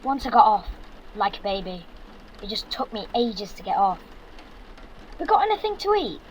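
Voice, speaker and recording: A man's voice: high-pitched, sing-song, normal speed, a little hiss or hum, low-quality recording. A young man playing a sarcastic, exasperated fifteen-year-old son in an audio drama, with a Yorkshire accent and blunt delivery. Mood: wary. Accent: Yorkshire English. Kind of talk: naturalistic audio drama acting.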